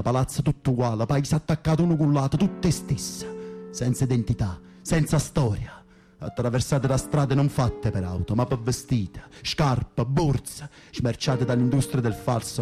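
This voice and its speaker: An actor playing a coarse, volatile young Camorra prisoner with a Neapolitan accent, gruff and confrontational, in a radio drama. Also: male voice, mid-range voice, lightly acted.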